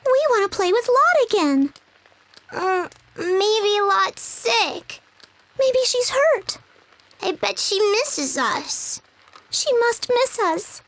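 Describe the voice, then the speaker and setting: Brief: woman voicing small children, squeaky, lively pitch, slow. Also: heavily acted. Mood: eager. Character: small eager children, girl and boy.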